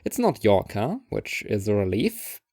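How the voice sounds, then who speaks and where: Low-voiced man narrating, dry close sound. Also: huge pitch swings, unhurried, darkish tone, no room sound. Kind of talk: scripted second-person story narration.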